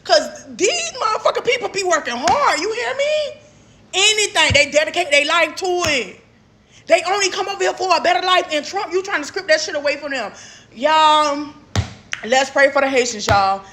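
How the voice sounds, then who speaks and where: American woman, really high bright voice, cussing and venting, blunt. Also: lively intonation, normal speed. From United States. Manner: blunt, profane, exasperated. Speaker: social media commentator. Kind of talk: casual ranting monologue.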